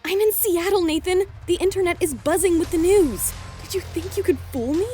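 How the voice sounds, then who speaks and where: A confident young heroine out for revenge, acted by a woman in an audio drama. Her voice is high and mellow, with a cool, self-assured delivery over music. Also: a little fast. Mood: cold, accusing.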